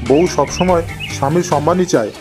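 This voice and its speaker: An older village patriarch acted by a man in a cartoon folk tale. His voice sits fairly high for a man and he advises in a measured, paternal way, with light music behind him. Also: natural voice. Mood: calm, concerned. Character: older village father and sage-like holy man, calm, advising. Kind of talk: Bengali cartoon moral-tale character acting.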